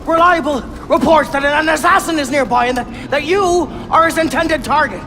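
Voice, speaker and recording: A man's voice: very high-pitched, moderate pace, background noise. An actor playing a nervous, servile henchman in a game cutscene, pleading and stammering under pressure. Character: cowardly, nervous male henchman, servile and panicky. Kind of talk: video game cutscene acting. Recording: music behind the voice.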